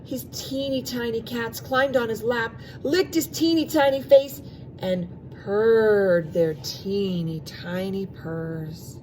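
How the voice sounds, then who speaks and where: A woman with a slightly high voice reads a children's storybook at a slow, measured pace. She performs the narration expressively, drawing out sound words and speaking aside to the young listeners. There is faint background noise. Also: mellow, rough recording. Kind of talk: expressive children's read-aloud.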